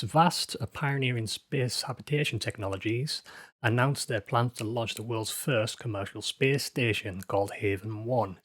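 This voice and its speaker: A man's voice: mid-range voice, no room sound, studio quality. A man hosting a news update show, narrating from a script with an emphatic, dramatic storytelling delivery. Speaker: host of a space news show.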